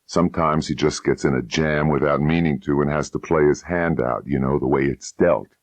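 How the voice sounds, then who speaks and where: A cool, hard-boiled private eye played by a male reader in a noir audiobook. His voice is extremely deep and closely recorded, with a wry, laconic, unruffled delivery. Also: fairly even intonation, normal speed, no room sound. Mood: measured, defiant. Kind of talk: first-person noir audiobook dialogue.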